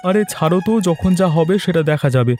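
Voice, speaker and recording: A man voicing a scheming street-food seller in a cartoon, hurried, boastful and dismissive. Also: fairly high pitch, a little mellow, soft background music, close to an everyday voice. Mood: smug, dismissive. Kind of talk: cartoon dialogue.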